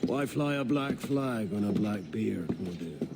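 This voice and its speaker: An actor playing a theatrical, wry pirate in a video game, with a teasing delivery. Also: male voice, on the low side, lively intonation, a little slow. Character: theatrical, wry male pirate greeting visitors. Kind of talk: video game cutscene acting. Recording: clearly noisy ambience behind voice.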